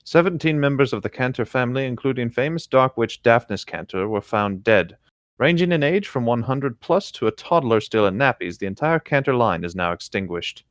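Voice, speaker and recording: A man's voice: mid-range voice, animated, normal speed, no room sound, clean studio sound. A man reading a story aloud casually and a little theatrically, stumbling and correcting himself along the way. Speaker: co-reader on a fan fiction riffing show. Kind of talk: casual storybook-style narration with joking asides.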